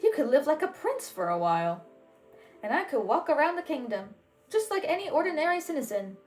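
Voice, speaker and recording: A woman's voice: sits high, sing-song, a little fast, faint music behind, voice pushed up. A woman voicing a confident, boyish young prince in a storybook, with formal and emphatic speech. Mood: eager, confident.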